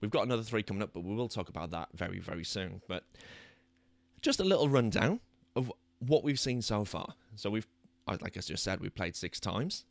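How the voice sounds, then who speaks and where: A British man hosting a soccer podcast in a chatty, self-deprecating way, his voice a little croaky. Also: lowish voice, lively intonation, fairly quick, no room sound. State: slightly croaky voice. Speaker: podcast host. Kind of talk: casual chat. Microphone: new microphone.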